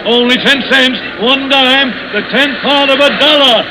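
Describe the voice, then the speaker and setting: A man acting a brash carnival barker in a 1940s radio drama, calling out loud showman's patter. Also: very high-pitched, steady tone, moderate pace, noisy background. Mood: hawking, showy. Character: brash carnival barker selling haunted mill tickets. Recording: old 1940s radio broadcast, organ music behind.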